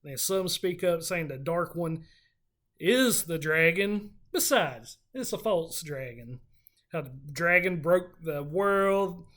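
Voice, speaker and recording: A co-host casually recapping and discussing a book, telling the story in an informal, slightly halting way. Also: mid-range voice, lively intonation, fairly bright tone. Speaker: podcast co-host.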